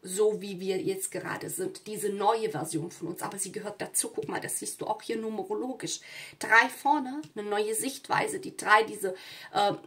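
Casting: female tarot reader, mid-pitched voice, informal spoken delivery straight to the viewer, frequent little check-in tags. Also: steady tone, moderate pace, fairly bright tone. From southern Europe. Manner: conversational, often checking in with "ja". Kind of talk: casual spoken card reading to viewers.

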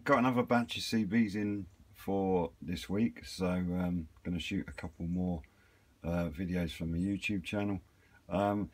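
Low-voiced British man, casual presenter. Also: a little mellow, breathy edge. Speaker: YouTube presenter of radio equipment videos. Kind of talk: casual unscripted product walkthrough.

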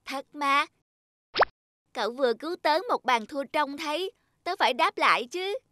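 A woman voicing an earnest, childlike little talking household object in a children's cartoon, with an expressive manner. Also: sits high, normal speed, dry recording, clean studio sound. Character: childlike talking cup and flashlight, eager, easily frightened young friends. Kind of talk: dubbed children's cartoon dialogue.

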